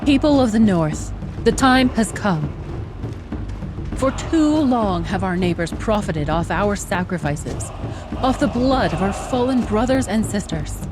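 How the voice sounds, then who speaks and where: A woman acts out a proud village elder from a fantasy game. She speaks formally and theatrically, commanding and quick to indignation, at a middling pitch. Music plays clearly behind her. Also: animated, natural voice. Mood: rousing. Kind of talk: fantasy video game acting.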